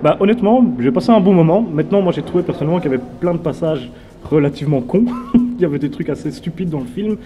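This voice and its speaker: A man's voice: on the high side, animated, rapid-fire, dull audio, faint music behind. A man from Belgium, giving a blunt, slangy film review as a guest at a festival. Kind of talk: casual film review chat.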